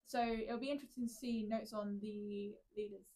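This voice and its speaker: A woman's voice: mid-range voice, steady tone, moderate pace, dark tone. A woman co-hosting a political podcast, chatty and enthusiastic, with frequent fillers. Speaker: podcast co-host. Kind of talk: casual political chat.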